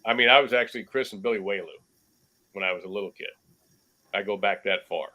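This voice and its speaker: Low bright-voiced older male storyteller. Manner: relaxed anecdotal storyteller, measured. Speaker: podcast guest, sports broadcaster. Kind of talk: casual remote interview storytelling. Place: cluttered home room.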